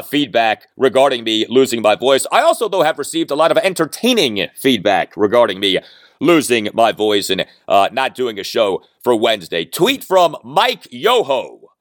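Animated male sports podcast host. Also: medium pitch, no room sound, studio quality. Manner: animated, emphatic delivery with frequent "uh" fillers. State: recovering from lost voice. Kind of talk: solo sports talk podcast.

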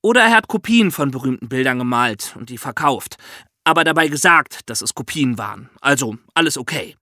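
A man co-hosting a podcast in a lively, conversational style, telling things in a chatty, colloquial way. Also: medium pitch, brisk, dry recording, studio quality. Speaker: podcast co-host.

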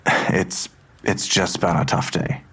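A man playing a weary, hesitant police detective and father nearing retirement in an audio drama, with a husky voice. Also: low-pitched, flat delivery, slow pace, fairly bright tone, exaggerated voice. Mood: weary, guarded. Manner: hesitant, subdued.

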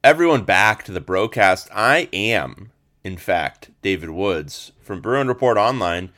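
Low-ish guy with a bit of gravel in his voice, rambly podcast host, lots of um and like. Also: animated, unhurried, fairly bright tone. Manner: rambling, many fillers and restarts. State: slightly hoarse. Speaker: podcast host and sports reporter. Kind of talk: casual sports podcast chat.

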